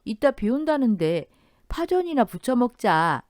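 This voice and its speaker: A soft-spoken, doting older mother-in-law, as a woman narrator puts her on, pitched higher and lighter than the reader's own voice. Her lines come across gentle and kind in a story radio drama, recorded close and dry. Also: very animated, a little slow, dry recording. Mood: cheerful.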